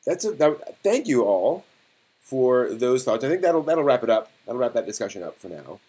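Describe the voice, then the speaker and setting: A man hosting a casual live stream discussion, thinking aloud in a halting way and posing rhetorical questions. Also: medium pitch, sing-song, warm tone, breathy edge.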